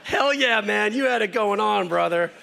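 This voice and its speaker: A man hosting a ceremony, loud and joking, excitable as he teases an honoree. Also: high-pitched, moderate pace, creaky, a little hiss or hum, rough recording. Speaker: host.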